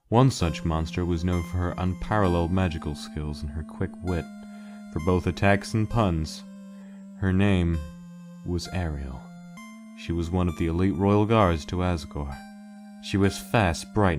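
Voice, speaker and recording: A man voicing a weary, guilt-laden skeleton joker in a dramatic fan comic dub, telling his story in a halting, wistful way. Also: deep voice.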